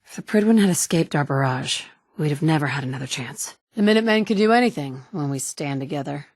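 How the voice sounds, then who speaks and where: Game heroine, adult woman survivor, low register, firm and direct, dry booth recording. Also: expressive, fast, a little mellow, no echo. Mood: matter-of-fact. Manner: firm and direct, shifting between stern and sympathetic. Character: determined adult woman, pragmatic wasteland survivor. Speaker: player character. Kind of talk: game dialogue voice acting.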